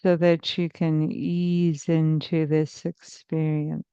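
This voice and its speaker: A woman therapist leading a guided meditation in a soothing, measured, hypnotic manner with long pauses, on slightly muffled audio. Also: sits low, flat delivery, warm tone, clean tone, dry recording. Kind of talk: slow guided meditation narration.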